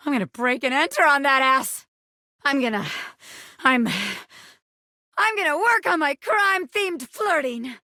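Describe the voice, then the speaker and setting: High, bright, brash gangster showgirl. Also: lively intonation, unhurried, long pauses, no room sound, clean studio sound, heavily acted. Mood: flirty, cocky. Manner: streetwise gangster slang, cocky, theatrical. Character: brash, scrappy bobby pin, ex-gangster turned flirty magician showgirl. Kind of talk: animated video game character acting.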